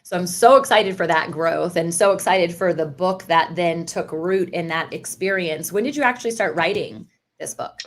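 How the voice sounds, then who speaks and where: A woman's voice: on the low side, moderate pace. A woman hosting an interview podcast, speaking in an enthusiastic, affirming way. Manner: enthusiastic, affirming, faith-centered. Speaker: podcast host. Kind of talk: conversational interview.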